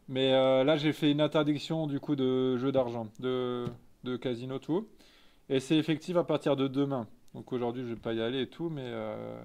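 A man's voice: mid-range voice, creaky, darkish tone. A man in his thirties with an eastern French accent, chatting casually and candidly on a live stream in informal slang. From Lorraine, France. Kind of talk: casual chat, reading and answering live chat. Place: small indoor photo studio office.

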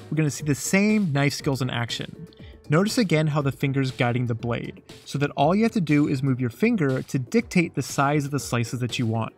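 A man narrating a cooking lesson in a scripted voice-over with a playful, jokey tone. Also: fairly high pitch. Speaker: cooking show host.

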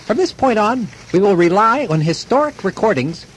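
An actor playing an earnest documentary narrator in a radio drama, telling the story deliberately. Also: male voice, sits high, big pitch swings, a little hiss or hum. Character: earnest documentary narrator reconstructing a man's fate.

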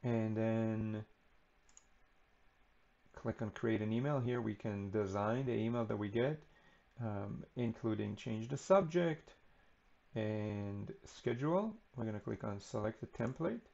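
A man giving an unscripted screen-recording walkthrough of software, relaxed and unhurried with frequent ums and uhs. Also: fairly low pitch, creaky, muffled recording. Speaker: software tutorial presenter.

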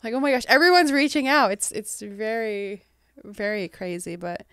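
Soft-spoken American woman, smooth and dry. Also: on the high side, normal speed, no room sound. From England. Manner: soft-spoken, laughs often, trailing off. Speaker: guest author. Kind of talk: casual interview chat.

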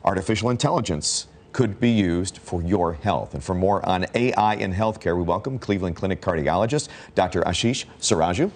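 A man's voice: low-pitched, expressive, brisk, few pauses. A man in his forties, a morning news anchor chatting with a guest in a TV studio on a lapel mic, conversational and affirming. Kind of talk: TV morning show chat.

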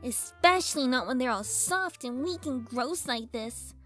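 A woman's voice: high voice, expressive, a little slow, fairly bright tone, soft background music. A woman voicing a bratty, indignant young girl pony villain in a fan fiction audio drama, whiny, exasperated and dramatic. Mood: sullen.

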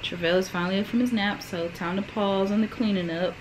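A woman's voice: medium pitch, steady tone, fairly quick, over loud music. A young adult American woman vlogging, chatting casually to the camera in a bedroom at home. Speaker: vlogger and stay-at-home mother. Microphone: camera at arm's length. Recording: clearly noisy room ambience.

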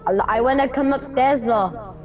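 A woman's voice: high-pitched, moderate pace. A woman chatting casually while playing a game with friends and reading prompts aloud. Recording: muffled voice chat under loud game music.

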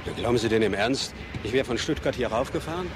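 An actor as a quick, indignant believer. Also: male voice, medium pitch, animated, background noise, close to an everyday voice. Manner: insistent, defensive. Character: indignant, convinced male paying spectator. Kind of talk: radio play acting as interviewee.

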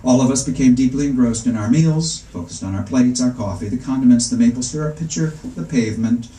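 A middle-aged man giving a live literary reading, with a wry, arch and measured storytelling manner. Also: medium pitch, warm tone. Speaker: author reading at a book event. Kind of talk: live literary reading of first-person comic prose. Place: indoor bookstore reading before a seated audience. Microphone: stand microphone, recorded from the audience seats. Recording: echoey room, public reading in a bookstore.

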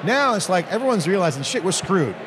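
A man's voice: sits high, background noise. Emphatic middle-aged male interview host. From United States. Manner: energetic, emphatic, quick to interject. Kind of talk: conversational interview. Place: large hotel hall at a conference. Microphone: handheld mic. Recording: busy crowd chatter behind.

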